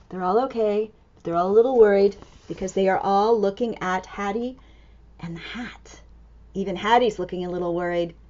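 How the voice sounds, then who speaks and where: A woman storyteller reading a picture book to young children in an animated, exclamatory way, full of expressive wonder. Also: medium pitch, muffled recording. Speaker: storytime reader and teacher.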